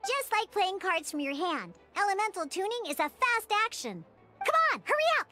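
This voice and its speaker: A woman voicing a peppy young cartoon mascot in a video game tutorial, chirpy and childlike, eagerly explaining with expressive exclamations. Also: extremely high pitch, moderate pace, soft background music, full character voice. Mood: exasperated, excited.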